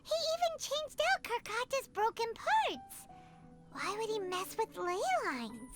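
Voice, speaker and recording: A woman voicing a tiny, childlike, good-hearted fairy companion in a video game, with a squeaky voice. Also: wildly swooping pitch, measured pace, full character voice. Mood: curious, concerned. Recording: loud music behind the voice.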